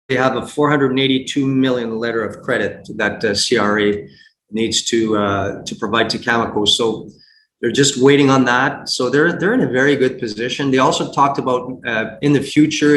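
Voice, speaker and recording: A man giving unscripted investment commentary as a guest, speaking haltingly with frequent repeats and false starts. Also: mid-range voice, fairly even intonation, a bit bright. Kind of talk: unscripted earnings call commentary. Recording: roomy echo, faint background noise.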